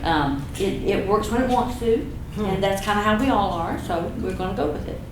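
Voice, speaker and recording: An older woman with a deep, slightly husky voice, a community speaker opening her talk in a playful, enthusiastic way. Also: lively intonation, normal speed. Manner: playful, enthusiastic, self-deprecating. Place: event room with audience. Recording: echoey room, audible noise.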